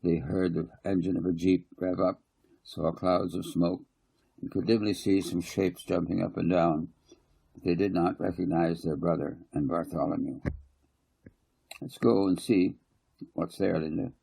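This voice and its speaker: A man with a gravelly, husky voice narrating a children's story as its author, in a slightly halting way. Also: low voice, level tone, mellow, rough recording.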